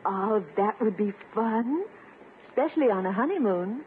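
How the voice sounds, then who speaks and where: A woman's voice: mid-range voice, animated, moderate pace, narrow, tinny audio, lightly acted. An American actress playing a coy, gushing, romantic woman angling for a husband, in 1950s radio comedy style. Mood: dreamy, gushing. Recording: thin, faintly noisy 1950s radio recording.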